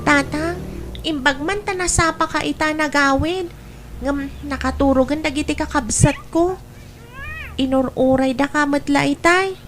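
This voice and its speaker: A woman's voice: high voice, measured pace, faint music behind. A woman with an Ilocano accent playing an earnest young child in an audio drama. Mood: earnest, wistful. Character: earnest young eldest child longing for father's attention.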